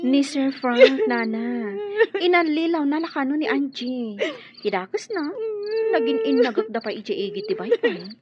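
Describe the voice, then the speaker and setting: An actress with an Ilocano accent playing a meek but resolute young housemaid in a radio drama, with a very husky, breathy voice. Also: female voice, high-pitched, sing-song, moderate pace, over a noisy crowd. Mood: agitated. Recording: dull lo-fi radio drama recording.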